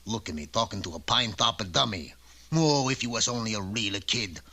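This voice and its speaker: An actor playing a grumpy, greedy old woodcarver in a cartoon, a gravelly voice and brash broken English. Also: male voice, on the low side, brisk, noisy background, lightly acted. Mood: weary grumbling. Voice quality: gravelly, raspy. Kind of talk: cartoon voice acting.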